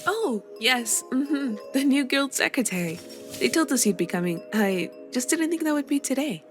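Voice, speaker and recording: A woman plays a busy young guild master who greets newcomers warmly but keeps them working hard. Her voice sits a little high, and faint music plays in the background. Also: animated, normal speed. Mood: friendly. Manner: warm and welcoming, a little bossy. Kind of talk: scripted voice drama.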